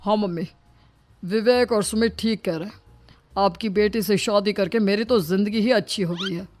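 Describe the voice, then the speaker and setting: A woman acts an idle young son-in-law in a moral story. The voice is mid-pitched, deferential and earnest, over quiet music. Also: normal speed, close to an everyday voice. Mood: flattering.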